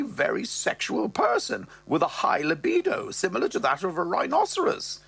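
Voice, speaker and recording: A man voicing a posh, blunt, tipsy elderly mother, imperious and scandalised, with long drawn-out words. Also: high-pitched, creaky, fairly bright tone, husky, pitched above the performer's own voice, heavily acted.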